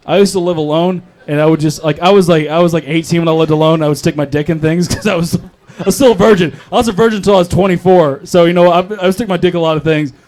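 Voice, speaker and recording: A man's voice: on the high side, fast talker, a little mellow. A man performing stand-up at an open mic, telling stories in a crude, profane, self-deprecating manner. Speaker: stand-up comedian. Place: live open mic comedy show.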